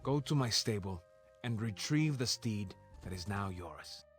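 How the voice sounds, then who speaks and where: A man's voice: lowish voice, animated, measured pace, music in the background. An actor playing a hearty, commanding medieval king in a game, speaking in a grand, theatrical way. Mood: grand, encouraging.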